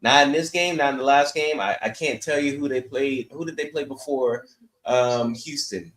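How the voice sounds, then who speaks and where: A man's voice: mid-range voice, normal speed, fairly bright tone. A man giving casual sports commentary as a podcast host, blunt and opinionated. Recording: echoey room sound.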